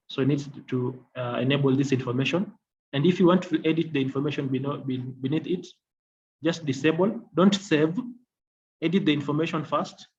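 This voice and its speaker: A man giving a software demonstration as a technical trainer, explaining in a halting, repetitive way. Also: a little high. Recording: dull lo-fi audio, faint noise.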